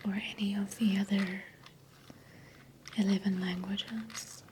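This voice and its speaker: A South African woman whose first language is Afrikaans, speaking an ASMR voiceover in a soft, gentle, unvoiced whisper into a close mic that moves from ear to ear. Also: mid-range voice, flat delivery, takes it slowly, noisy background. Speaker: ASMR creator. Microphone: close sensitive mic, sounds moving ear to ear.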